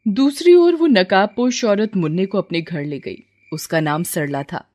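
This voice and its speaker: A woman's voice: mid-range voice, measured pace, darkish tone, faint music behind. A woman narrating a scripted horror story in a dramatic storytelling style.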